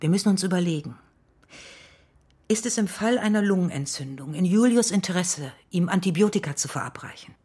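A woman playing a direct, principled family doctor in a radio play, speaking in a measured, formal way. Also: on the low side, animated, mellow, husky. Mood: matter-of-fact. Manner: measured, matter-of-fact, formal.

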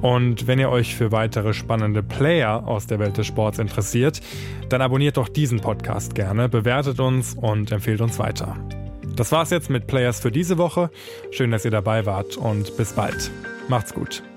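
A man's voice: mid-range voice, normal speed, over music. A man narrating a scripted podcast as its host and reporter, speaking into a close microphone.

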